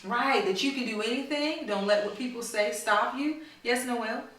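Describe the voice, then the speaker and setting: A woman's voice: on the high side, moderate pace, a bit bright, a little hiss or hum. A woman reading a picture book aloud to children and putting questions to them, with an encouraging, teacherly manner. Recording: very echoey room, far from mic.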